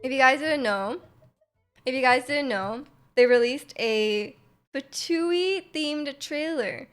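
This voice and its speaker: Chatty young woman, live streamer, halting casual delivery, fairly high voice. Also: a bit bright, clean tone, faint music behind. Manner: chatty, halting, repeats phrases. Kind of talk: casual reaction stream chat.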